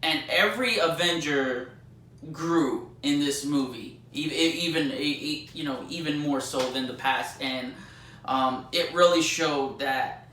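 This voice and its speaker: A man giving a casual, unscripted movie review, gushing excitably and often stammering over repeated words. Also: fairly high pitch, measured pace. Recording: roomy echo, faint background noise.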